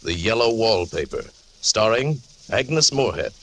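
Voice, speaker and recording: A man announcing a 1940s radio show in a scripted, measured, portentous style. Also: fairly low pitch, big pitch swings, background noise. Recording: old radio broadcast under loud music.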